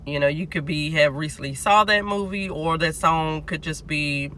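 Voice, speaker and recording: A man's voice, somewhat high and strikingly bright, thickened and nasal from a lingering cold. He talks casually through a tarot reading for his audience, often pausing to ask "okay?" to keep listeners with him. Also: a little hiss or hum. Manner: chatty, keeps checking in with "okay?". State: nasal, getting over a cold. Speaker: tarot reader. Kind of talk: collective tarot reading to camera.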